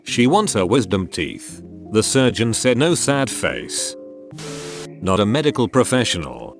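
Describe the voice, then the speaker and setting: A man reading out forum stories as narration over background music. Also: lowish voice. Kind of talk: read-aloud narration.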